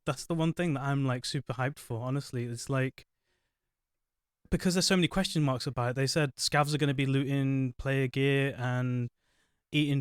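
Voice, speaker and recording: A man hosting a casual podcast who talks in a loose, rambling way, filling pauses with "um." His voice is smooth and clear. The mic is close and dry, with no room sound. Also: mid-range voice, dry recording. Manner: chatty, apologetic, rambling with fillers. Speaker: podcast host.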